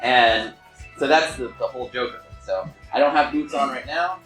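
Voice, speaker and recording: A man at a table reading, chatting casually and haltingly as he steps out of the script. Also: mid-range voice, a bit bright. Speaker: table reader. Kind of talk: casual, halting aside between read scenes. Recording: echoey room with music behind.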